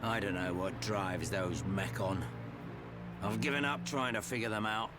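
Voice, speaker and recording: An actor with a British accent playing a laid-back, shrewd, matey fighter in a video game, colloquial and offhand. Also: male voice, lowish voice, a little slow, close to an everyday voice. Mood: wry, casual. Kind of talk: video game cutscene acting. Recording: loud music behind the voice.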